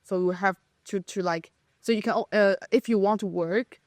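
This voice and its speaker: A woman's voice: medium pitch, normal speed, darkish tone, no echo, studio quality. Hesitant young woman with a Chinese accent. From China. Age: young adult. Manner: hesitant, many restarts and fillers. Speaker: podcast guest. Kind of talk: casual conversation.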